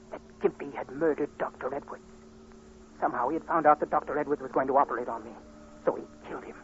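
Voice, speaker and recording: A man's voice: a little high, close to an everyday voice. An actor playing an anxious, haunted blind man in a tense, dramatic 1940s radio suspense play, also narrating in first person. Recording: dull, noisy 1940s radio recording.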